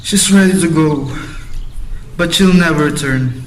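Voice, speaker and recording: A man's voice: on the high side, a little slow, lightly acted. A man playing a commanding lord and father in an amateur radio drama, speaking in a declamatory way. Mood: grieving. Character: bossy, impatient lord and father, later grieving. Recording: echoey room.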